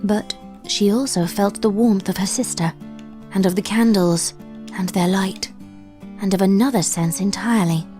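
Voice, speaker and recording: Casting: woman narrator, measured and deliberate, mid pitch, faint guitar behind. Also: warm tone. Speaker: fanfic reader. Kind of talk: dramatic story narration.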